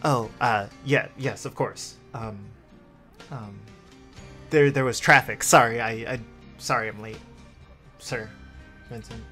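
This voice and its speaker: A man's voice: medium pitch, takes it slowly, pauses often, soft background music. A man playing a brash, flustered male vampire in a tabletop roleplay, stammering and repeating himself apologetically. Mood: sheepish. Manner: stammering, repetitive, excitable.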